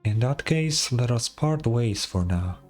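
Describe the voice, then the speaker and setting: A man's voice: mid-range voice, lively intonation, a little slow, a little mellow, soft background music. A man voicing a grave, formal ancient video game character with a solemn, archaic way of speaking. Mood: grave, reflective. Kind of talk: video game dialogue read aloud.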